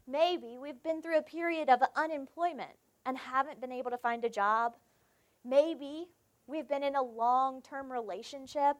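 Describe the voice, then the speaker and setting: A young woman from South Carolina with a Southern accent, giving a devotional sermon and telling a personal story, acting out the dialogue in it. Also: sits high. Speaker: preacher.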